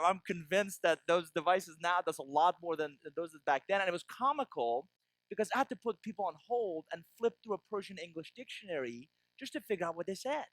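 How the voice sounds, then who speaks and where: A man's voice: sits high, a bit bright, no room sound, rough recording. A man from Iran giving a business keynote with jokes, often asking the audience "right?". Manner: tags lines with "right?" to the audience. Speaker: keynote speaker.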